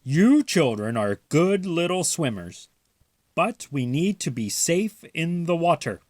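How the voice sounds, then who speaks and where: A man voicing a gentle, cautious father mouse from a storybook, musing in an expressive, deliberate way. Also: a little high, no room sound, voice pushed up, exaggerated voice. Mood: caring, musing.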